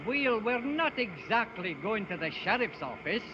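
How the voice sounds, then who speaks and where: An actor in a 1930s radio drama playing a bossy, mocking henchman posing as a policeman, rough-voiced, on a muffled old broadcast. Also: high voice, big pitch swings, moderate pace, in a large hall, background noise. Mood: smug, threatening.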